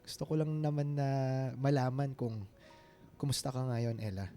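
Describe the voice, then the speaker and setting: An actor with a Filipino accent playing an earnest, smitten ex-boyfriend in his late twenties, speaking haltingly in a radio drama. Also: mid-range voice, warm tone, natural voice. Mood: tender, curious. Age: 20s. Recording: music bed behind the voice.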